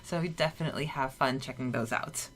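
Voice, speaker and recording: A woman's voice: extremely low pitch, fairly quick, slight background noise. Chatty woman podcast host. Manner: chatty, laughing, many fillers. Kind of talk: casual chat. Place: home.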